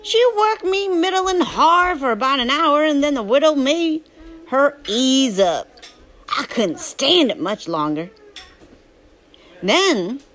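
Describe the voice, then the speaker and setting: A woman plays a restless, plainspoken country boy telling his own story from a storybook. The accent is Southern US, folksy and drawling, and the pitch swings freely from phrase to phrase. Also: animated, slow, a bit bright, heavily acted. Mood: grumbling, wry. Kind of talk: first-person storybook narration.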